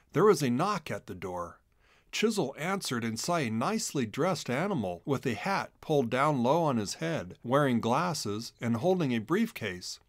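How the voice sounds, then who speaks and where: A man reading a children's storybook aloud in an expressive, dramatic narrating style. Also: medium pitch, no echo, studio quality. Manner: animated, dramatic delivery. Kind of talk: expressive scripted narration for a read-along storybook.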